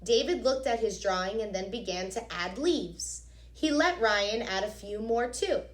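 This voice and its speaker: A woman reading a picture book aloud as a teacher, expressively, pausing to explain things directly to young children. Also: mid-range voice. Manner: expressive, instructive, addresses young listeners directly. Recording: echoey, reverberant room.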